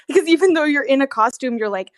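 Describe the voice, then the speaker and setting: A woman telling work stories as a guest in a casual, animated, giggly chat with some swearing, on an amateur recording. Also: high-pitched, fairly quick, no room sound. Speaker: guest, costumed theme park character performer. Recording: amateur recording with bad audio.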